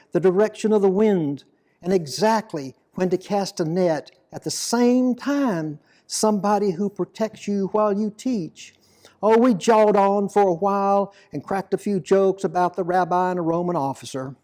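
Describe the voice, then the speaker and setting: A man reading a dramatic monologue poem as an aging, plain-spoken fisherman apostle, deliberate, reflective and full of guilt and devotion. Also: high-pitched, dark tone. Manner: slow, deliberate, reflective storytelling.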